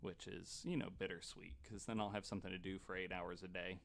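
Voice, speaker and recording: A man with a fairly low voice hosts a casual podcast. He rambles along in loose, unscripted chat, often stammering and repeating words as he goes. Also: flat delivery, a little fast, gravelly, slight background noise. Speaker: podcast host.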